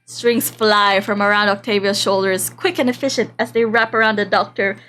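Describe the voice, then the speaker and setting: A woman's voice: mid-range voice, steady tone, moderate pace, fairly bright tone, slight background noise. A woman streamer reading a visual novel aloud in a playful, dramatic way, breaking off for casual asides. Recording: music behind the voice. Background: game background music.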